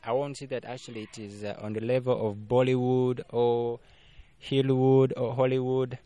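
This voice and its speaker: A man's voice: medium pitch, level tone, normal speed, dark tone, clean tone. A young man from Uganda, a filmmaker guest, chatting casually in an interview, with lots of fillers and restarts. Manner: rambling, frequent fillers and restarts.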